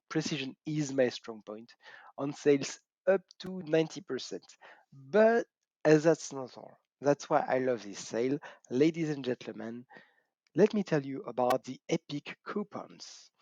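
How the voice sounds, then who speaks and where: A man's voice: medium pitch, a little slow, darkish tone, no echo. French-accented middle-aged man, dull cheap mic. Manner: chatty and self-mocking, laughs often, whoops. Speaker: gaming channel host. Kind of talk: casual talk to camera.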